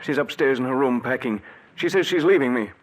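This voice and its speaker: A man's voice: medium pitch, expressive, brisk, a little hiss or hum, close to an everyday voice. A man playing a desperate jealous husband. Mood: pleading. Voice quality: pleading, emotional, theatrical. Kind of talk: 1940s radio drama acting. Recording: old radio broadcast.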